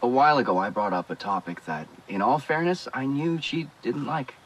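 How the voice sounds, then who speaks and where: A man's voice: mid-range voice, fairly quick, husky, natural voice, poor audio. An actor playing a worried, guarded but caring man in a video game, speaking hesitantly and conversationally. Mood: rueful, concerned. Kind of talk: naturalistic video game acting.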